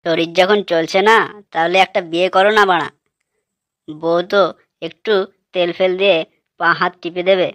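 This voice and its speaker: A woman's voice: fairly low pitch, steady tone, moderate pace, long pauses, a bit bright, no room sound, lightly acted. A woman playing a blunt, persuasive male friend in a comic cartoon, with coarse, matter-of-fact talk.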